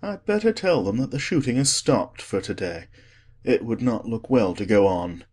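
A man's voice: mid-range voice, animated, normal speed, a little mellow, husky. A man voicing an unruffled older aristocrat, a cynical wit with a breezy, dismissive manner, in an audiobook. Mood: calm. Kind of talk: dramatic audiobook character acting.

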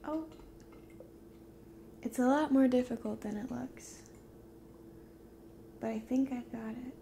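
A woman in her twenties doing a soft-spoken ASMR roleplay to the listener, gentle and hushed, drifting into whispers. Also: on the high side, slow pace, a little hiss or hum. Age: 20s. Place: dim indoor room at a table.

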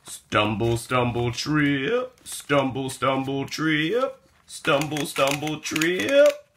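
Guy doing a kids read-aloud, slow n sing-songy, kinda high voice that swoops around. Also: slight background noise. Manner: expressive, drawn-out words and sing-song repetition. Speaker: storyteller reading a picture book aloud. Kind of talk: animated children's read-aloud.

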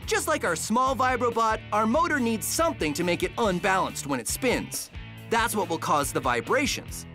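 A man's voice: high voice, sing-song, moderate pace, pushed and bright, faint music behind. A middle-aged man hosting a children's science show with upbeat scripted narration.

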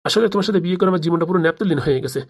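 A woman's voice: on the low side, very fast. Lady doing a henpecked older cartoon uncle, complaining at top speed. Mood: exasperated. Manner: pleading, complaining. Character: hapless, pleading older village uncle and henpecked husband. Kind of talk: comic cartoon dialogue.

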